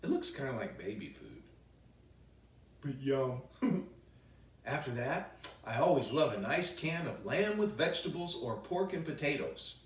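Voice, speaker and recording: Animated man reading aloud, echoey and distant. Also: medium pitch. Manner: playful, expressive, with chatty asides. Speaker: children's author reading his own book. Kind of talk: animated bedtime story read-aloud. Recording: roomy echo, distant microphone.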